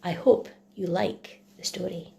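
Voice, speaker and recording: A woman reading a children's bedtime story in expressive, rhythmic rhyming narration, like a primary teacher. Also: on the low side, unhurried, gravelly.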